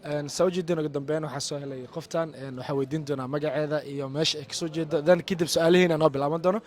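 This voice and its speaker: Fast-talking young Somali man in the street. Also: fairly high pitch, steady tone. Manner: fast, chatty, switching between two languages. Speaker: street interviewer vlogger. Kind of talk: casual street interview vlog. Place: outdoors in a city centre.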